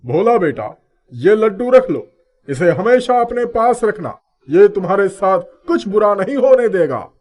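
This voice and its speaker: A man's voice: sits high, big pitch swings, a little slow, darkish tone, voice pushed up. A man voicing a wise, stern yet fatherly holy man, instructive, in a storybook tale. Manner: authoritative, instructive. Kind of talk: storybook character dialogue.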